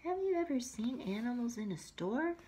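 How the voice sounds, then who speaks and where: A woman reads a picture book to young children at an unhurried pace. Her pitch moves in lively swings, and her voice is breathy and a little husky. She often drops into soft asides and questions for her listeners. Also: rough recording. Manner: expressive, asks the listeners questions. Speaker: teacher reading picture books aloud to young children. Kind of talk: read-aloud storytelling with asides to young listeners.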